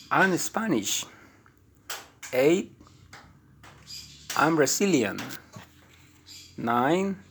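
A man reading an English course book aloud, calling out words and items in a slow, deliberate way. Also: mid-range voice, gravelly, fairly bright tone, slight background noise. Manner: slow, deliberate listing with rising and falling item calls. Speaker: textbook audio reader.

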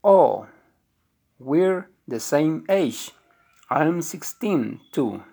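A man's voice: on the high side, expressive, very slow, creaky, mellow, full character voice. A man reading the part of a teenage Italian boy in a textbook dialogue, in a plain reading voice. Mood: friendly.

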